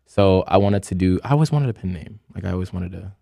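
A young man on a podcast, chatting casually and animatedly, often jumping into excited bursts. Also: sits low, fast talker, gravelly, dry recording. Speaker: podcast co-host, aspiring rapper.

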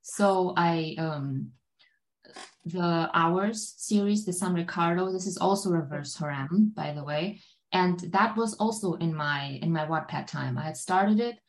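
A woman's voice: fairly low pitch, measured pace, poor audio. Rambling woman. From Germany. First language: German. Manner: rambling, filler-heavy storytelling with many "like"s. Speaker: guest author. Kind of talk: casual podcast chat.

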